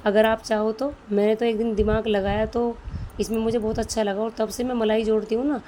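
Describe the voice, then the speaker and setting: A woman's voice: on the high side, little pitch movement, a little fast, dark tone, slight background noise. A woman vlogger with a sore throat, chatting homely and directly to her viewers about her day. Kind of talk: casual home vlog voice-over.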